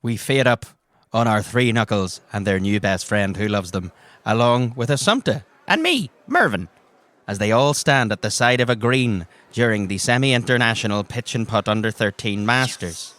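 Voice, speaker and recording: A man's voice: lowish voice, animated, moderate pace, fairly bright tone. A man working as game master, improvising vivid and playful dramatic narration for a tabletop game. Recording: music behind the voice.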